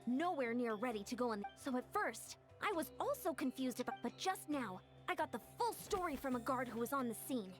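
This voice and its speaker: A woman voicing a young female warrior officer in a video game, formal, courteous, brisk and earnest. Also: sits high, animated. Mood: earnest, explanatory. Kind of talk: English video game voice acting. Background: game music.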